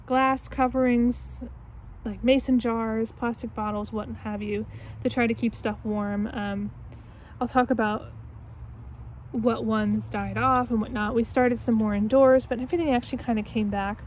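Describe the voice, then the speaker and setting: A woman's voice: on the high side, fairly even intonation, measured pace, darkish tone, a little hiss or hum. A woman in her twenties with an American accent, narrating a casual, unscripted tour outdoors into a handheld camera. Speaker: vlogger giving a garden tour. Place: outdoors in a backyard garden. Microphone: handheld phone or camera at arm's length.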